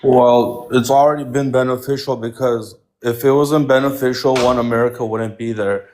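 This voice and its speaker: A man's voice: medium pitch, steady tone, normal speed, darkish tone, slight background noise. A man confidently laying out his political reasoning as a guest on a live stream. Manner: explanatory, confident. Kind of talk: political commentary.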